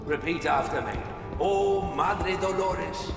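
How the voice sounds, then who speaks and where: A solemn game priest, declaims a funeral rite in a fairly high voice. He is far off in a long, heavy echo with loud music. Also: slow pace, creaky, full character voice. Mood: solemn, devout. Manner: ceremonial, declamatory. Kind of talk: dramatic video game sermon.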